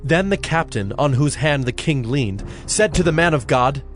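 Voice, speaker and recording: A man narrating a dramatized scripture reading in a measured, solemn manner. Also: on the high side, expressive. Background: soft background music.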